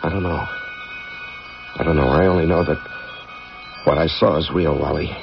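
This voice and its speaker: A man playing a haunted, insistent middle-aged astronaut commander in a radio drama, with a gravelly voice on an old radio recording. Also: extremely low pitch, fairly even intonation, normal speed. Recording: dull 1980s radio recording, music underneath.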